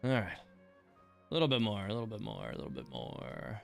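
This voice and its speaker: A man with a low, smooth voice that drops into gravelly fry. He streams a video game casually, rambling and muttering his way through it, with faint music behind him. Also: wildly swooping pitch, fairly quick. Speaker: livestreamer. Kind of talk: casual game livestream commentary.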